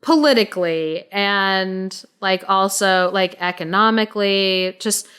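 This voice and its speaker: A woman co-hosting a podcast, telling a story casually in an informal, halting, explanatory way. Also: medium pitch, fairly bright tone, clean tone.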